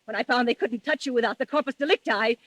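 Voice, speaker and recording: An actress playing a jealous, unhinged wife in an old radio thriller, menacing and melodramatic. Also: female voice, on the high side, fast talker, a bit bright, no room sound, highs cut off. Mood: bitter, vengeful. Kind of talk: 1940s radio thriller acting. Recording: old-time radio broadcast recording.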